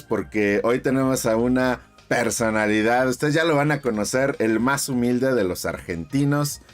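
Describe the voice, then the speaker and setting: A man from Mexico, hosting his channel's interview in a chatty, effusive way with lots of fillers. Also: medium pitch. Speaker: YouTube channel host.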